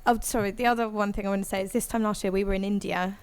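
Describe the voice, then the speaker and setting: A woman's voice: mid-range voice, fairly even intonation, very fast, clear, resonant tone. A singer-songwriter, a woman, chatting casually and rambling with many ums as a guest on a live audio room call. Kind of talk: casual chat after a live set.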